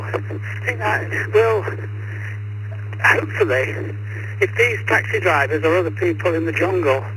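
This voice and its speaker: A man talking casually as an amateur radio operator, musing aloud in a tentative way. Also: fairly high pitch. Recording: noisy radio transmission with hum. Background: clear radio noise and hum.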